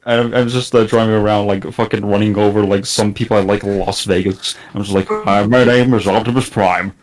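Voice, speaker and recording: A man's voice: lowish voice, fairly even intonation, a little fast, slight background noise, rough recording. A young man from Estonia, not a native English speaker, chatting casually on a gaming podcast, with many fillers and restarts. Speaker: podcast guest.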